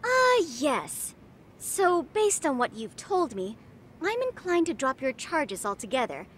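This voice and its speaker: A woman's voice: high-pitched, big pitch swings, a little slow, fairly bright tone, a little hiss or hum, exaggerated voice. A woman playing a self-important teenage schoolgirl who leads a detective club in a video game dub, with pompous, precise, dramatic phrasing. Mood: stern, calculating. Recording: music under the dialogue. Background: music.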